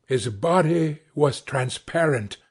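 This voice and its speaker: A man reading a classic novel aloud as an audiobook, with measured and expressive storytelling. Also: a little high, breathy edge. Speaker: audiobook reader. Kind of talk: scripted narration of a classic novel.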